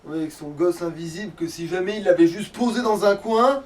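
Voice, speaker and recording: A man's voice: high-pitched, fairly quick. A man giving casual, sweary, grumbling commentary as he plays a game. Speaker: let's play gamer. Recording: noisy room, distant microphone.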